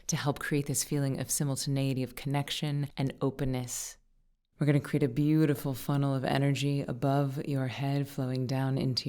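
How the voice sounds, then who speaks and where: A woman guiding a meditation, speaking in short, unhurried phrases with gentle, soothing instruction and frequent pauses. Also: rumbling low, smooth. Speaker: meditation teacher and guide.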